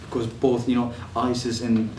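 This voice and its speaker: A man's voice: medium pitch, monotone, moderate pace, a little mellow, a little hiss or hum. A young man from Syria, giving a personal testimony with many fillers and restarts. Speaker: refugee telling his story. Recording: echoey room, mic at a distance.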